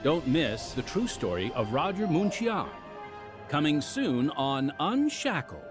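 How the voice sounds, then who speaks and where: A man's voice: on the high side, sing-song, measured pace, over music. An American man hosting an audio drama podcast, reading scripted announcements and narration as a radio announcer.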